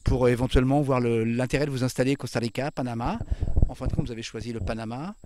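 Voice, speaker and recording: A man's voice: medium pitch, steady tone, fast, background noise. A man interviewing a guest, asking polite questions in formal address.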